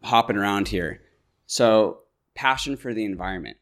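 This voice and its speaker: A man's voice: on the low side, moderate pace, fairly bright tone. A man hosting a casual interview, reflecting on his guest's story with enthusiasm. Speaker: podcast host.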